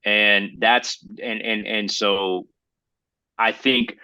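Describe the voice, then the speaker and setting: Bright, forceful man chatting off the cuff. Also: fairly low pitch, steady tone, clear, resonant tone, dry recording, poor audio. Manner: halting, rambling, hedging delivery; slangy, addresses listener as bro. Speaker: podcast co-host. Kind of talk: casual opinionated chat.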